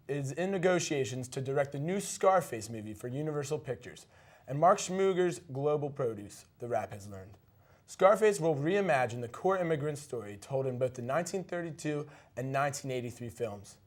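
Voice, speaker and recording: Guy reading news as co-anchor, medium pitch, bit casual, trips on words now n then. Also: lively intonation, normal speed. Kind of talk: scripted news reading with brief casual remarks.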